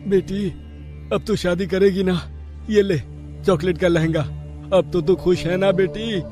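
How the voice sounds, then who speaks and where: A humble, devoted father in an animated tale. His high voice is emotional and pleading, full of worry, over clearly audible music. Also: unhurried. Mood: tender. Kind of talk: dramatic Hindi animated story acting.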